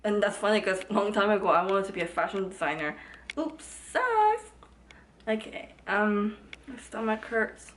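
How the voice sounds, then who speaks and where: A woman's voice: medium pitch, very animated, normal speed, fairly bright tone, a little hiss or hum. A woman chatting casually to her camera at home, rambling with lots of "like" fillers. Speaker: vlogger. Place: at home indoors.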